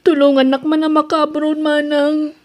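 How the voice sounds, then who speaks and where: A woman acting a high-voiced, aggrieved young wife. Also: little pitch movement, normal speed, close to an everyday voice. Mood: tearful pleading. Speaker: drama voice actress. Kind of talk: Ilocano audio drama acting.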